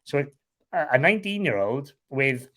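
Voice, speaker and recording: A man's voice: mid-range voice, very animated, moderate pace, a bit bright. Frank Welsh man, rambling. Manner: frank and rambling, swears casually. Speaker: guest, online seller. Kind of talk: candid interview chat.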